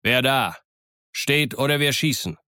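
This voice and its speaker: A man's voice: medium pitch, lively intonation, moderate pace, fairly bright tone, no echo, studio quality, lightly acted. A man voicing a stern, brusque ship's captain with a curt, commanding manner in a storybook reading.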